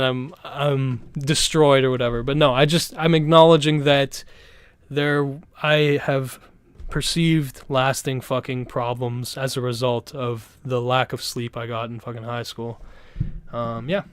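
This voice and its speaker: A young man from Canada chats loosely with his live stream audience, swearing freely and restarting his sentences. His voice is smooth and clear at a middling pitch, recorded close and dry with no room sound. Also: fairly even intonation, moderate pace, dry recording. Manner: rambling, profane, laid-back, full of restarts. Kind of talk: casual live-stream chat.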